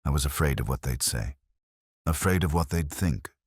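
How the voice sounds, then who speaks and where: A man's voice: a bass voice, lively intonation, normal speed, slightly rough, no room sound, clean studio sound, exaggerated voice. A man voicing a grieving, secretive, fearful woman making a hesitant, subdued confession in a narrated detective drama. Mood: subdued, afraid.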